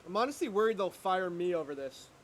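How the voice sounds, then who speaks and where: Man playing an anxious, high-voiced, exasperated twin brother. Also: expressive, quick pace, a bit bright. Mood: worried, annoyed. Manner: indignant, sarcastic. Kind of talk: amateur dramatic script reading.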